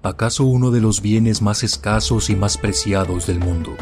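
Male announcer, low pitch, dramatic scripted narration, music bed. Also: moderate pace. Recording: voice over prominent music bed.

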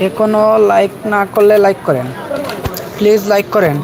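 A man's voice: sits high, normal speed. A man with a Bangladeshi accent hosting a casual livestream outdoors in a busy market area, speaking to commenters directly and repeating himself. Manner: repetitive, addressing commenters directly. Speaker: livestream host. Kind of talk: casual livestream chat with viewers. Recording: busy street crowd and traffic noise. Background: crowd chatter and vehicles.

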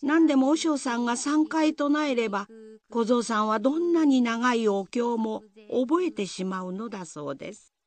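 A woman's voice: a little high, expressive, moderate pace. A woman narrator reading a scripted Japanese folktale in the style of a radio drama. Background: music.

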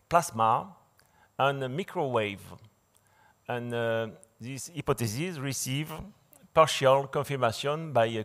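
A man lecturing in English, which is not his first language, in a large dark hall. He speaks through a podium mic, with many fillers and restarts. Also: mid-range voice. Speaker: conference speaker. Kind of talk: slide lecture.

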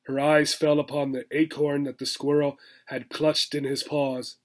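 A man, reading a children's book aloud in expressive narration. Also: fairly high pitch, unhurried. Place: small furnished room indoors.

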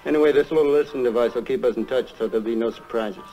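Man as a fast, mellow tough-guy detective. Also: medium pitch, faint music behind, lightly acted, poor audio. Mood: assured. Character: self-assured tough male detective partner. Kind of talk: 1960s television detective drama acting.

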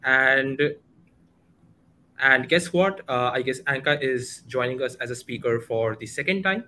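Bright-voiced young Indian man hosting. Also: mid-range voice, a little slow, a little hiss or hum. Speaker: host of a community meetup. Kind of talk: casual livestream event introduction. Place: home room, indoors, video call. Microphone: headset.

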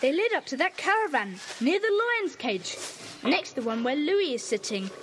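An actor playing a brisk, commanding boy who leads a children's club in an audio drama, on a hissy tape. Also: sits high, big pitch swings, a bit bright, heavily acted. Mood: eager. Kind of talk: radio play acting.

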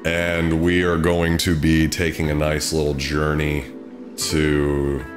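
A man's voice: extremely low pitch, monotone, slow. A man in his thirties chatting casually as a livestream host, close on the mic. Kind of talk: casual chat. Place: small home studio room. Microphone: close desk microphone, headphones on. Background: music.

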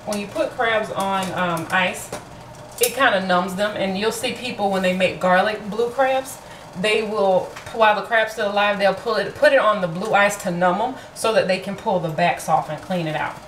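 A woman's voice: mid-range voice, moderate pace, slight background noise. A woman from Florida chatting casually through a home cooking vlog, self-deprecating and full of fillers, recorded on a camera in her kitchen. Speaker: vlogger.

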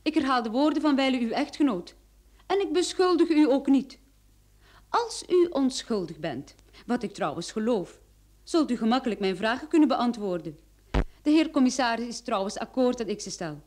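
A woman's voice: fairly high pitch, very animated, moderate pace, darkish tone. An actress playing a cool female private detective in a radio play, questioning with composed insistence. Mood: composed, firm. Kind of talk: Dutch-language radio play acting.

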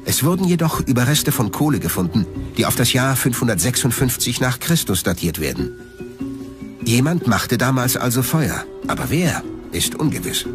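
Guy narrating a documentary, lively intonation, music under it. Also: medium pitch, moderate pace. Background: background music.

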